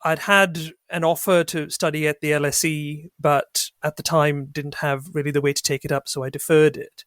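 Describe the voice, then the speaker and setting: A middle-aged man from Sri Lanka, a barrister guest telling his career story in a measured, wry way. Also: fairly high pitch, level tone, fairly bright tone, no echo, studio quality. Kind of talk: podcast interview, reflective storytelling.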